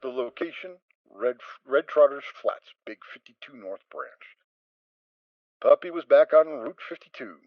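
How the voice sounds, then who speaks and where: Expressive male storybook narrator. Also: mid-range voice, unhurried, dry recording. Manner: expressive reading. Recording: dull, dry lo-fi recording.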